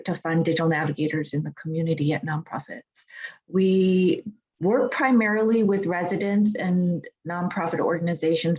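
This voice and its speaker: A woman working as a city digital equity advisor, introducing herself on a panel in a clear, businesslike way. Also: on the low side. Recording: dull lo-fi video call.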